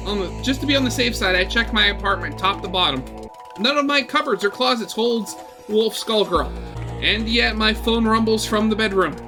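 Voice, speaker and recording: A man reading a visual novel aloud as a gaming host, expressive, mixing dramatic narration with informal asides. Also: sits high. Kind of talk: dramatic story narration with casual commentary.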